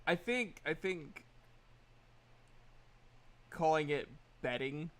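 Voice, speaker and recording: A man's voice: on the high side, lively intonation, slow. A man in his thirties bantering casually as game master of a tabletop game, with a giggly, self-deprecating, teasing manner. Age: 30s.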